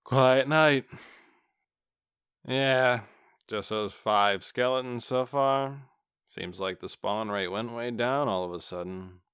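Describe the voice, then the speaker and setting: A man's voice: mid-range voice, slow pace, a bit bright, clear, resonant tone, dry recording, studio quality. A man voicing an ordinary, chatty night-watch guard in an audiobook, griping in a colloquial way. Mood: casual. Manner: colloquial, laid-back griping. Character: casual, chatty male night-watch guards, uneasy but joking. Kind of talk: audiobook character dialogue.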